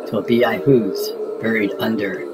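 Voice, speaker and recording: Slow, low-voiced man telling a spooky story. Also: steady tone, loud music behind the voice. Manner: theatrical, deliberate. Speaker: storybook narrator. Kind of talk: dramatic rhyming read-aloud of a spooky children's story.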